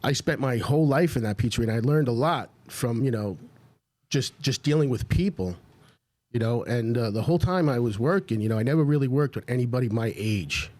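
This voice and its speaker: A man's voice: mid-range voice, animated, a little fast, darkish tone. A man speaking as a podcast guest, casually reminiscing in an informal way and repeating phrases for emphasis.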